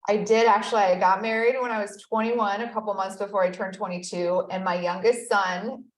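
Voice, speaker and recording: Earnest woman guest telling her story, middle pitch, roomy echo. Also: fairly even intonation, fairly quick. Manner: earnest, reflective storytelling with frequent "you know". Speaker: guest, accountability expert. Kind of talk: conversational interview.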